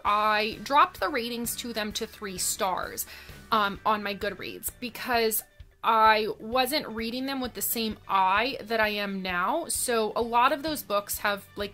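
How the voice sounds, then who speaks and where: A young woman talking casually in a reading vlog, thoughtful and halting, drawing out words for emphasis. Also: a little high, bright, forceful tone. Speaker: book vlogger.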